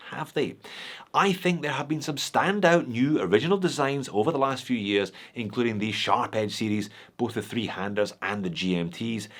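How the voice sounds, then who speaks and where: Male host, middle-aged, nasal from a cold, dry wit, direct-to-camera watch review patter. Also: medium pitch. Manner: dry humour, conversational asides to viewers. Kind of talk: scripted video review.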